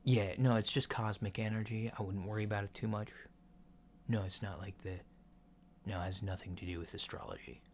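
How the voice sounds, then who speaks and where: A man playing a casual, reassuring alien doctor in a soft ASMR roleplay, speaking in a mild, hesitant, matter-of-fact way. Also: fairly low pitch, fairly even intonation, brisk, close to an everyday voice. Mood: calm, reassuring. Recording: lo-fi with clear background noise.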